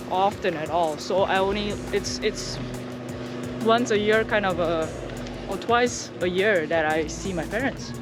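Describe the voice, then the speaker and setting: A young adult woman from Indonesia, a guest in a casual interview, speaking hesitantly with many fillers and restarts. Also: mid-range voice.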